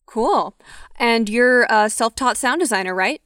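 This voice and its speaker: Young woman host, clear and instructive. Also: on the high side, animated, unhurried, fairly bright tone, dry recording, studio quality. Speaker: podcast host. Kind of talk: scripted narration and interviewing.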